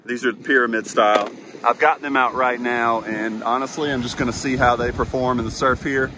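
Man, 30s, outdoor fishing vlogger, chatty and excitable, action-cam sound, wind and surf clearly behind. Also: mid-range voice, normal speed. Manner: chatty, excitable when a fish hits. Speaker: fishing channel host. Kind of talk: casual outdoor vlog narration. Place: open ocean beach outdoors in wind and rain. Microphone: action camera, chest or handheld. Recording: windy beach with loud surf noise.

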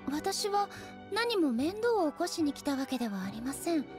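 A high, formal woman's voice for a dignified goddess ruler in an anime game. She is composed, aloof and literal-minded, choosing polite, measured words. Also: very animated, over music.

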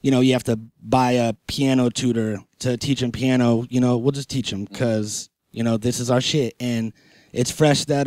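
A man's voice: medium pitch, darkish tone, no echo. A man co-hosting a casual chat, speaking in a slangy, laid-back manner.

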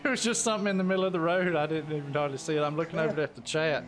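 Higher-voiced man chatting on a game stream. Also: fairly even intonation, rapid-fire, slight background noise. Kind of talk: casual gaming livestream chat, swearing.

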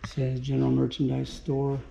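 Man, vlog host, gravelly mellow voice, wonder-struck tour commentary, action-camera mic. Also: mid-range voice, completely flat delivery, moderate pace, slight background noise. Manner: marvelling, keeps saying wow, reads signs aloud. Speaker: vlogger. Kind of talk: casual walking-tour commentary. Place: small museum and outdoor historic village. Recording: slightly dull action-camera audio.

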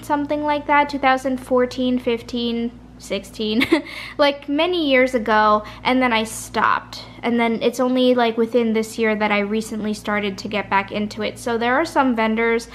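A tired woman vlogging late at night from her kitchen table, talking softly with a slight rasp and rambling in a chatty way. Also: high-pitched, fairly even intonation, a little slow, a little hiss or hum. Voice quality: soft, a little raspy. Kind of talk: casual chat. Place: kitchen at home. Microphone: camera at a kitchen table.

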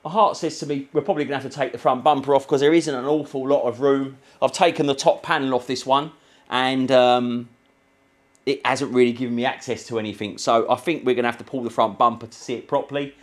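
A man's voice: medium pitch, fairly even intonation, fast. A man in his forties with a British accent, explaining a repair step by step in a casual, matey way. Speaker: car mechanic and video presenter. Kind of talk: hands-on how-to demonstration, casual. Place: cluttered car repair garage, indoors. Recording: clear workshop background noise.

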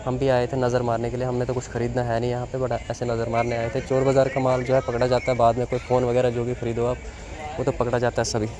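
A man's voice: mid-range voice, monotone, fast. A man, chatting to viewers as he vlogs his trip into a handheld phone camera. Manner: chatty, keeps addressing viewers. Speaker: travel vlogger. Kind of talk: handheld travel vlog narration. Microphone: phone camera held at arm's length. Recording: loud traffic and crowd noise.

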